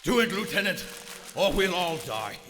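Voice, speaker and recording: A man's voice: sits high, big pitch swings, moderate pace, over loud music. A man acting a stern, proud German submarine captain with formal, commanding diction in live radio theater. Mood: urgent command. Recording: echoey theater hall, loud hiss.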